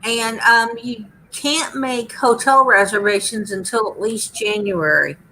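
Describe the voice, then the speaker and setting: A woman's voice: fairly high pitch, measured pace, sharp tone, slight background noise, poor audio. A woman in her fifties in a casual group chat, dropping dry, teasing quips. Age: 50s.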